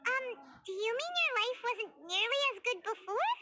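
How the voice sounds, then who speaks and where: Anime game sidekick: tiny curious fairy, extremely high, childlike, squeaky, swooping intonation. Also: female voice, lively intonation, moderate pace, heavy background noise. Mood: curious, considerate. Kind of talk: scripted anime-style game dialogue.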